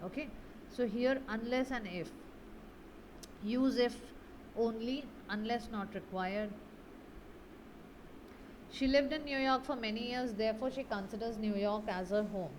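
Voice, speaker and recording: A woman's voice: on the high side, a little slow, long pauses, darkish tone, a little hiss or hum, rough recording. A woman English grammar teacher explaining exercise answers in a didactic way, often checking understanding with tag questions.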